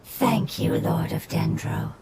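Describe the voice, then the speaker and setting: A woman voicing a solemn, devoted follower in a video game, speaking formally, with loud music over the voice. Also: low voice, takes it slowly, vocal fry, heavy background noise, heavily acted.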